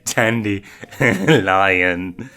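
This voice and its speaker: A man's voice: low-pitched, very slow, bright, forceful tone, exaggerated voice. A man voicing a playful, sensitive young lion cub prince, teasing and easily indignant, in a read-aloud story. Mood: playful, giggly.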